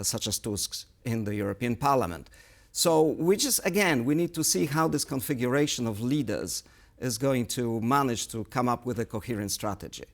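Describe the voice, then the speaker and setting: A man offers political commentary as an interview guest. His voice is mid-pitched with a creaky, gravelly edge. He explains his points in a measured, analytical manner. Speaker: guest political analyst on a current affairs show.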